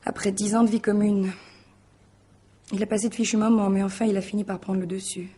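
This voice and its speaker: An actress playing a polite, guarded mistress in a French radio mystery drama, hesitant and questioning. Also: female voice, mid-range voice, flat delivery, brisk, warm tone, husky, natural voice. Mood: confiding.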